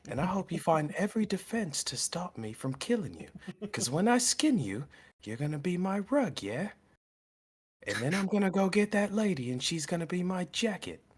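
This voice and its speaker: A man's voice: high-pitched, big pitch swings, normal speed. A man voicing a menacing male rogue who keeps calling people mate, taunting and threatening. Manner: threatening, playful sing-song taunts. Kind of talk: in-character roleplay.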